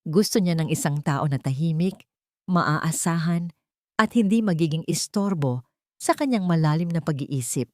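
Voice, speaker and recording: A woman narrating a scripted dramatic story in measured, short literary phrases. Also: low voice, lively intonation, no room sound, studio quality.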